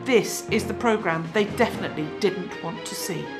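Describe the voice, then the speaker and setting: An actress playing a resolute investigative TV journalist in a radio drama, presenting in a measured, emphatic way. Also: female voice, medium pitch, gravelly, over loud music, natural voice. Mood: resolute, serious. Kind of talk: scripted documentary presenting within radio drama.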